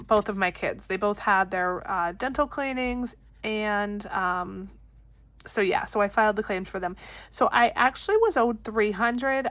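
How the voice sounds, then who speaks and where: A woman's voice: mid-range voice, a bit bright. A woman in her thirties with a stuffy nose, chatting casually to camera and counting cash aloud. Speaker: budgeting vlogger.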